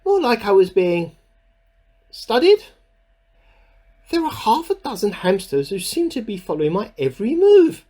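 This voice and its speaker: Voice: medium pitch, very animated, measured pace, long pauses, mellow. An actor in a radio play playing a bemused amateur scientist who keeps a spoken diary, musing aloud in an earnest, slightly formal and self-questioning way. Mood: puzzled musing. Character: bemused amateur scientist keeping an audio diary, increasingly suspicious.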